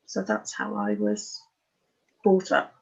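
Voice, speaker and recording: Older English woman, sixties, husky voice on slightly dull audio, giving a frank, unpolished personal account as a guest. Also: medium pitch, very animated, slow. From Oxfordshire, England. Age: 60s. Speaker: interview guest. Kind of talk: candid personal life story in conversation.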